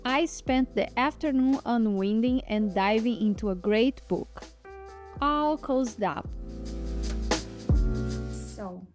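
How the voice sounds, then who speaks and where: A woman's voice: fairly high pitch, slow, long pauses. Chatty woman vlogger, Portuguese native speaker. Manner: chatty, relaxed, restarts words. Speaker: lifestyle vlogger. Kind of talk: casual vlog narration. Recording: soft background music.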